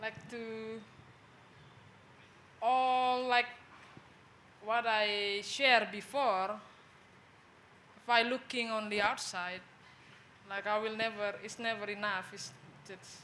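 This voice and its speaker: A woman's voice: a little high, steady tone, takes it slowly, pauses often, pushed and bright, slight background noise. A woman from Bali with an Indonesian accent, a guest on a discussion panel, speaking hesitantly and searching for words in front of an audience. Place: event venue with an audience.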